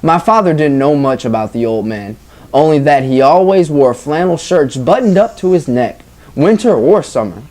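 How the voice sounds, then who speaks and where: Teacher, medium-pitched voice, reading a picture book aloud with engaging, informal delivery. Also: lively intonation, moderate pace, warm tone. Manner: engaging, informal, addresses listeners as guys. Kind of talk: expressive read-aloud with questions to young listeners.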